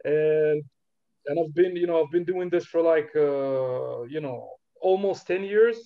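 A man from Algeria, a rapper guest talking in a relaxed interview, hesitant and full of fillers. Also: fairly high pitch, unhurried, narrow, tinny audio. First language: Algerian Darija. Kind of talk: casual interview talk.

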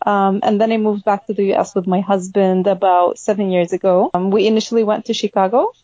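A woman's voice: medium pitch, steady tone, a little fast, warm tone, no room sound, muffled recording. A woman, chatting as a guest on a podcast in a friendly way and laughing often. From Egypt. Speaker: podcast guest, health coach. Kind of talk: casual conversational interview.

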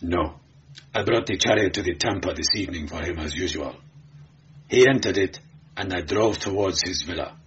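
A man's voice: low-pitched, moderate pace, fairly bright tone, highs cut off, a little hiss or hum. A man voicing a bitter, sullen enslaved charioteer who answers plainly in an audiobook story. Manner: sullen, matter-of-fact. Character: bitter, sullen male slave charioteer, unafraid.

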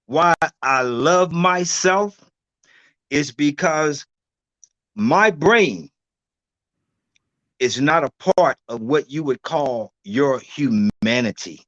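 A man in his sixties, a guest herbalist, telling his story in a loud, emphatic, preacher-like way over a video call. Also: fairly high pitch, expressive, slow, long pauses, no echo, highs cut off.

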